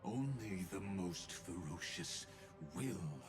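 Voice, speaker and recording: Sinister ancient game schemer, low, unhurried, theatrical, half-whispered, music behind. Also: male voice, expressive. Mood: solemn, scheming. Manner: slow, theatrical, whispery delivery. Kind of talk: video game dramatic voice acting.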